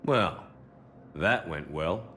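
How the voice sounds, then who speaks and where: An actor playing a blunt, determined military hero in a sci-fi video game, talking in terse, direct questions. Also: male voice, low voice, animated, very slow, sharp tone, faint music behind, heavily acted. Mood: dry.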